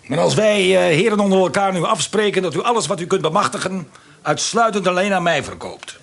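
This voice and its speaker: An actor playing a greedy, scheming merchant in a period radio play, gravelly and husky, bargaining like a conspirator. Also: male voice, high-pitched, expressive, a little fast, fairly bright tone, full character voice. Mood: eager, conspiratorial. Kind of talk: Dutch radio play acting.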